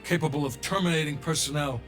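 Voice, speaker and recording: Guarded male CIA official, cold and measured actor. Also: on the high side, fairly bright tone, faint music behind, natural voice. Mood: measured explaining. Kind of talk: video game cinematic acting.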